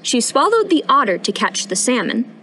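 A young woman reading a picture book aloud at storytime in an expressive, sing-song rhyming delivery, recorded outdoors. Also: high-pitched, normal speed, slight background noise, low-quality recording. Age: young adult. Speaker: storytime reader, intern. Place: outdoors on a wooden porch.

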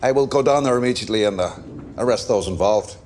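An actor playing a rigid, middle-aged police inspector who talks formally and deferentially. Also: male voice, mid-range voice, quick pace, a little mellow, slight background noise, lightly acted. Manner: formal, tightly controlled, deferential to superiors. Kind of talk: period TV drama. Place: dim wood-paneled office, indoors.

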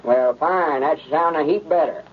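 A man's voice: a little high, normal speed, natural voice. Man playing a rustic storekeeper on tinny old radio. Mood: friendly, on phone. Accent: rural Southern US. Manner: rustic, homespun talk. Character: earnest, folksy old country storekeeper man. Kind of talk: 1930s radio comedy acting. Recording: narrow, noisy old radio recording.

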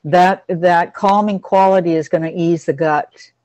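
A woman's voice: on the low side, fairly even intonation, unhurried, a little mellow, dry recording. Lady running a casual online class, reassuring and explaining stuff, pretty dry sound. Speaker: host teaching a class on pets. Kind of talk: casual group video-call class.

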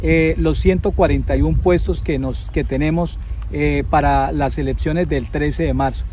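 An army general makes a formal statement, pausing now and then with fillers. His voice is slightly high. Clear outdoor noise runs behind him. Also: fairly even intonation, warm tone. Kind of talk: interview statement.

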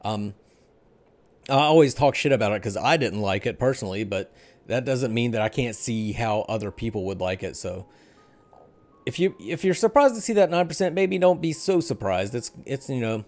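A man's voice: medium pitch, expressive, moderate pace, a little mellow. Casual middle-aged man hosting a gaming show. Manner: informal, conversational. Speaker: show host. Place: indoor room at a desk. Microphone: headset.

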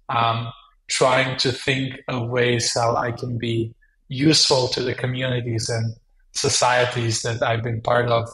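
A man's voice: medium pitch, a bit bright, reverberant, low-quality recording. A man in his thirties, from Southeast Europe, answering interview questions over a video call in an earnest, reflective way. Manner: earnest and reflective, with frequent fillers. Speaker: guest entrepreneur. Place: small room at home.

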